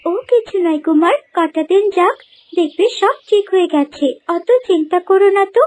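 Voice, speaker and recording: A woman's voice: very high voice, moderate pace, darkish tone. A woman voicing a sly, arrogant young female impostor in a theatrical cartoon style. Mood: falsely sweet. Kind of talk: cartoon villain voice acting.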